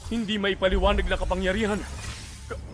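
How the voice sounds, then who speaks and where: Earnest, fairly high-voiced engineer acted by a man. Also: little pitch movement, fairly quick, faint music behind, close to an everyday voice. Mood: earnest, resolute. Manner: formal, earnest. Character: humble, earnest Indian male technology engineer. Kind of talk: game character voice lines. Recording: reverberant game audio, faint noise.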